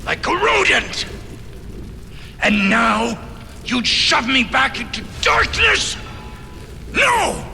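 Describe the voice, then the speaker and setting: A wrathful, tormented creature for a game. The voice is very high, strained and gravelly, swinging wildly and slowly from whisper to roar. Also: male voice, big pitch swings, sharp tone, full character voice. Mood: vengeful rage. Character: wrathful resurrected male experiment, tormented monstrous being. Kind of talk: video game villain acting. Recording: music behind.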